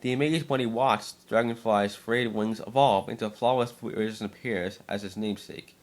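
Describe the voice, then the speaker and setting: A man reading aloud as an amateur narrator, now and then stumbling over his words. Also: fairly low pitch. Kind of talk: dramatic story reading by an amateur.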